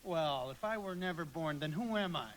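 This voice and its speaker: A man's voice: fairly high pitch, expressive, moderate pace, fairly bright tone, a little hiss or hum. An actor playing a distraught husband and father in a live radio play, agitated and stammering as he pleads. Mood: bewildered. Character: desperate, distraught everyman husband and father, George.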